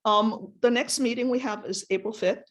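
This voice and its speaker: A woman's voice: on the high side, normal speed. A woman chairing a committee meeting, who often stumbles and repeats words while she thinks.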